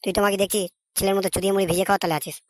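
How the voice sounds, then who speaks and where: A performer voicing a grumpy, foul-mouthed middle-aged father in a comedy cartoon, gruff, scolding and sarcastic. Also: medium pitch, level tone, racing, no echo, clean studio sound, lightly acted. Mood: bickering.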